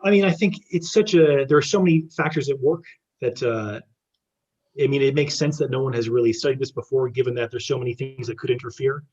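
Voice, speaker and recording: Male researcher fielding questions, rapid and tentative, slightly rough unclear voice. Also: mid-range voice, a little mellow, highs cut off. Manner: tentative, hesitant, self-correcting. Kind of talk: academic question-and-answer.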